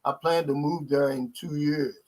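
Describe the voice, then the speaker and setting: Relaxed, folksy middle-aged man host. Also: fairly high pitch, normal speed, low-quality recording. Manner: relaxed, folksy, reads viewer super chats aloud. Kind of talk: casual live-stream chat.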